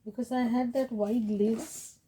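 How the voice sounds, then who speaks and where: A woman hosting a craft tutorial, talking casually while she works, her voice hoarse and fading, and she keeps clearing her throat. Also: on the high side, steady tone, a little hiss or hum. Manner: soft, unhurried, frequent throat clearing. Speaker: craft channel host.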